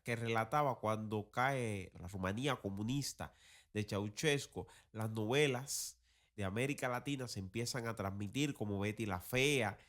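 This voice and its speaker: A man's voice: on the low side, normal speed, fairly bright tone. A man giving casual, opinionated commentary in a colloquial, critical manner, as a podcast host.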